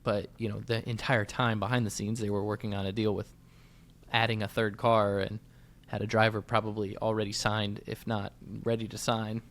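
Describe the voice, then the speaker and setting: A man's voice: lowish voice, flat delivery, normal speed. Man casually talking racing as co-host. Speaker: motorsports podcast co-host. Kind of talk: casual sports analysis chat.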